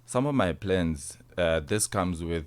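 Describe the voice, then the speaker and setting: A man's voice: low voice, animated, takes it slowly, clean tone. A nineteen-year-old man with a South African accent, answering interview questions informally as a sports guest, often repeating and rephrasing. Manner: informal, repeats and rephrases, tags phrases with "you see". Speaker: interview guest, rugby player.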